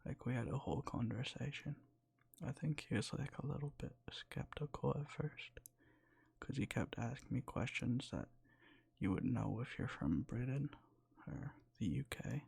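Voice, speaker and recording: A young man whispering in a soft, rambling way close to the mic, sleepy and sometimes losing his words, for boyfriend roleplay ASMR. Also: medium pitch, moderate pace, gravelly, breathy edge. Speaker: ASMR creator.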